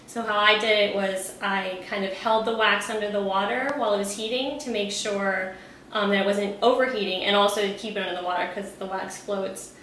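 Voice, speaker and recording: A woman's voice: medium pitch, steady tone, fairly quick, fairly bright tone. A woman giving a casual, informal instructional demonstration, explaining things step by step as an instructor. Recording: very echoey room, faint background noise.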